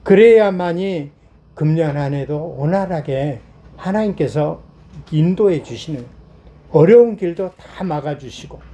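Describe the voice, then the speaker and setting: A middle-aged man from South Korea preaching a Sunday sermon as a pastor, explaining point by point in a voice slightly hoarse from a cold. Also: high voice, dark tone.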